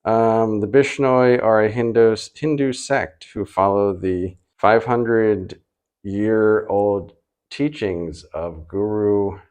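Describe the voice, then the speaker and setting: A man hosting a travel vlog, chatting casually in a halting, rambling, unscripted way. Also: lowish voice, steady tone, darkish tone, clean tone. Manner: hesitant, halting, rambling delivery with trailing repeats.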